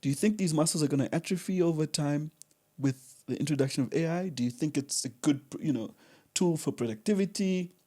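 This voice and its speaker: A man hosting a podcast in a studio, asking questions in a relaxed, chatty way. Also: a little high, brisk, few pauses. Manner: relaxed, chatty, lots of fillers and restarts. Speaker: podcast host. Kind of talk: casual interview chat.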